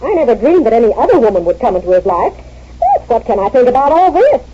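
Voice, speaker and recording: A woman's voice: a little high, huge pitch swings, fast talker, mellow, husky, exaggerated voice. A woman playing a jealous wife who interrogates her friend in a 1940s radio comedy, on a muffled old recording. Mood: suspicious. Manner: indignant, interrogating, theatrical. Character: jealous suspicious wife, sharp and dramatic.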